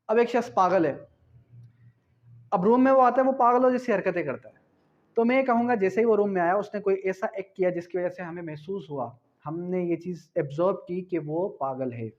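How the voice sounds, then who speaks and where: A man's voice: sits high, darkish tone. Pakistani guy teaching law class, repeats stuff and asks himself questions, kinda muffled lo-fi audio. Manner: didactic, repeats key phrases and asks rhetorical questions; switches between Urdu and slowly read English phrases. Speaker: law lecturer. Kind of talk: exam-prep lecture, reading out legal definitions and explaining them. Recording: dull lo-fi lecture audio.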